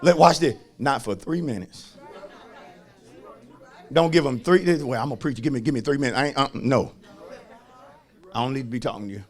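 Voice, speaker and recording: An American man preaching a fervent sermon as a pastor, raspy and gravelly, folksy and emphatic, repeating phrases and swinging from hushed asides to shouting. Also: medium pitch, normal speed, pauses often, a faint crowd.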